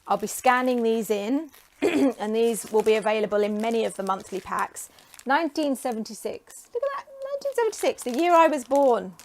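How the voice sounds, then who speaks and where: A woman in her forties from England talks casually to camera, chatty and enthusiastic with frequent ums, her voice getting hoarse. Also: fairly high pitch, very animated, a little fast, a little mellow. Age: 40s. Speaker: creative coach and vlogger.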